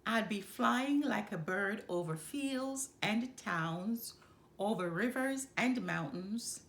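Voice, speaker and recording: A woman reading a children's storybook aloud in the first person, expressively, with deliberate, dramatic pauses. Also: medium pitch, lively intonation, fairly bright tone. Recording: roomy, echoing room.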